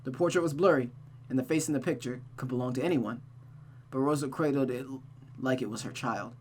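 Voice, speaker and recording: A woman's voice: very deep voice, brisk, darkish tone, a little hiss or hum. A woman reading a visual novel aloud as host, in a dramatic storytelling style. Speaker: storytime host, game reader. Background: music.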